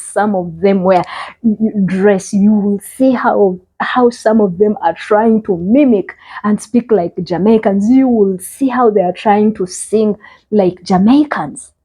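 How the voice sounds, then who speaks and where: A woman's voice: medium pitch, mellow, husky, poor audio. A woman from Uganda with a Ugandan accent, delivering impassioned opinion commentary straight to camera, repeating phrases for emphasis. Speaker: vlogger.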